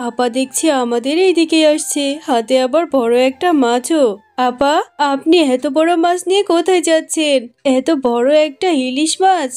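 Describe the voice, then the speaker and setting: Woman acting spiteful, high-voiced fox sister-in-law. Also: darkish tone, natural voice. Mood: suspicious, mocking. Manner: sarcastic, dismissive. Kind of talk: dramatised Bangla cartoon story.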